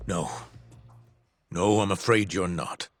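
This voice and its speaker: A man's voice: sits low, slow pace, soft background music. A man playing an arrogant but easily panicked corrupt official in a dramatic video game cutscene. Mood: defiant, nervous.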